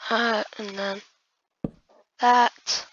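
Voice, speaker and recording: A hoarse woman who has just woken up, giving casual gameplay commentary while building and often muttering in half-whispers to herself. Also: fairly high pitch, steady tone, drawn-out words, a bit bright, lo-fi sound, a little hiss or hum. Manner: muttering half-whispered asides to self, addressing viewers as guys. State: hoarse, losing voice after just waking up. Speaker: gaming video creator.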